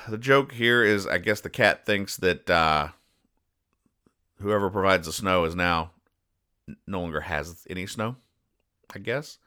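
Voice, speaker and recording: A man hosting a podcast, explaining jokes in a mock-serious, deadpan and over-explaining way. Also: low voice, measured pace, long pauses. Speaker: podcast host.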